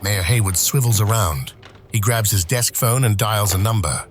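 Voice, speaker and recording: A man's voice: on the low side, normal speed, slight background noise. A man reading scripted screenplay narration for an audio drama.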